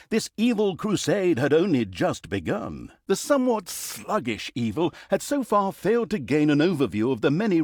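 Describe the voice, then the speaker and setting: A man's voice: a little high, a little mellow, no echo, clean studio sound. A man playing a snarky, self-aware storyteller in a fantasy video game, narrating with pompous, mock-grandiose flourish and wry asides.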